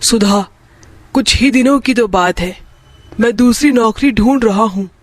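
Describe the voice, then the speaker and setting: A woman plays a mild young married son in a bedtime story, pitched below her own voice. He pleads and explains himself in a placating way. The sound is close and dry. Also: unhurried, no echo. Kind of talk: Hindi bedtime moral story dialogue.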